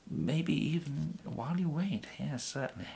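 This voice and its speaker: A young man with an English accent softly whispering an ASMR roleplay as a polite, hesitant gentleman tailor. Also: fairly high pitch, animated, measured pace, vocal fry, slight background noise. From England. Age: young adult. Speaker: ASMR performer playing a tailor.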